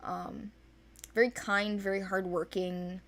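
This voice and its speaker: A young woman chatting informally and unscripted as she presents characters from an animated series, with a playful, self-deprecating manner. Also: medium pitch, slow. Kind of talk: casual unscripted character overview.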